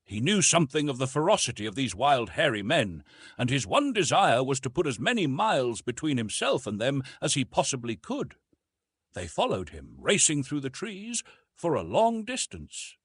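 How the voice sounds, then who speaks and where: Male narrator for adventure audiobook. Expressive and theatrical, husky voice, very dry studio-like sound. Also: mid-range voice, moderate pace, no room sound. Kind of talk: dramatic scripted narration of an adventure novel.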